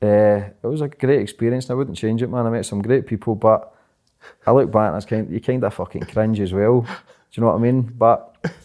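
A young man talking fast and rambling as an interview guest, swearing often and repeating "do you know what I mean". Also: on the low side, warm tone. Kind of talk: casual interview chat.

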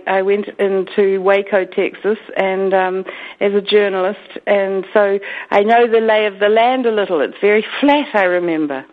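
A woman with a New Zealand accent, a guest on a radio interview, talking earnestly with many restarts and fillers. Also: medium pitch. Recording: tinny phone line.

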